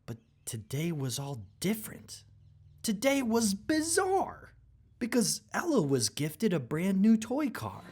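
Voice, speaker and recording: A woman's voice: mid-range voice, wildly swooping pitch, slow, a little mellow, breathy edge. A woman narrating a rhyming children's storybook as a scripted read-aloud.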